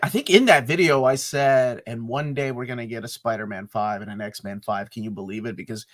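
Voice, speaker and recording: A man's voice: mid-range voice, fairly quick. A man co-hosting a live comics talk stream, chatting casually, joking and rambling. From United States. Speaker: co-host of a comics talk show.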